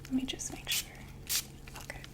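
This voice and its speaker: A young woman playing a female vampire door-to-door makeup saleswoman in an ASMR roleplay, whispering slow, gentle, chatty sales talk. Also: lightly acted. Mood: soft, soothing.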